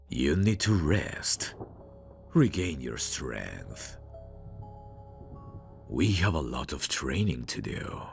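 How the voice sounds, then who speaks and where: A possessive mad scientist, acted by a man for an ASMR roleplay, murmurs in an extremely low, gravelly voice. He is creepy yet doting, intimate and theatrical, with a breathy edge and lively swings of intonation. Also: heavily acted. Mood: soothing, possessive. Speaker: ASMR voice actor. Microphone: close microphone. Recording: close-miked voice over clear music. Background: music.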